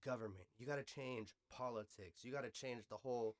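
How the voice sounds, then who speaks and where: A man's voice: medium pitch, a little fast, dry recording. American man, dry close-sounding podcast host. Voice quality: slangy, profane speech. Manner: loose, conversational, opinionated. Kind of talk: casual unscripted podcast chat.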